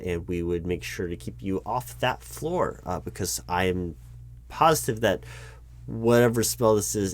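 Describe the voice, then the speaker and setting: Halting, awkward man in a mock interview. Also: sits low. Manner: hesitant, halting, full of ums and restarts; polite, awkward, stiffly corporate. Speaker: recruiting manager in a roleplay. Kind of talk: one-sided job interview roleplay.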